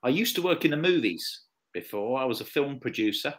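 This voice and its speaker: A man's voice: mid-range voice, lively intonation, fairly quick. English man in his fifties, tutoring. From England. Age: 50s. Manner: repeats and checks phrases for a learner. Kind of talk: one-to-one conversation lesson. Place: online video lesson call.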